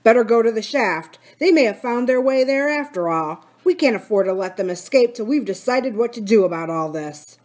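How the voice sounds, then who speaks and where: A blustering male crook from an adventure storybook, as a woman reader puts him on. He is rough and commanding. His words come out as forceful barks. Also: a little high, lively intonation, moderate pace, few pauses, lightly acted. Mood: urgent.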